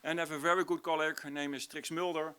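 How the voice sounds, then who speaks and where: A man's voice: on the high side, flat delivery, very fast, bright, forceful tone, clear, resonant tone. A middle-aged man from the Netherlands with a Dutch accent, a native Dutch speaker, presenting informally as a university lecturer at a conference and often restarting as he searches for words. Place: conference room, before an audience.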